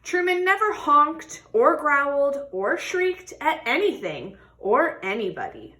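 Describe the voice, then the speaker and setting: A woman with a bright, fairly high voice reads a children's storybook aloud. She takes her time, drawing out key words for young listeners. Her delivery is expressive, in the manner of someone guiding children through the pages. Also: unhurried. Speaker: read-aloud storybook reader. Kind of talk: animated children's picture book read-aloud with questions to listeners.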